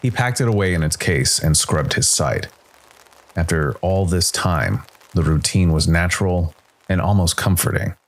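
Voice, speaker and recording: Deep-voiced man narrating dramatic fiction. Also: moderate pace. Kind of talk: dramatic scripted sci-fi story narration.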